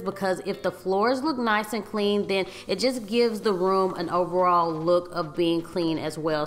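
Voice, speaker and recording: A woman's voice: fairly low pitch, roomy sound. A young woman vlogger giving casual, upbeat home cleaning tips, speaking to her viewers. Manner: chatty, upbeat, addresses viewers as you guys. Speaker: lifestyle vlogger. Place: large home, bedroom.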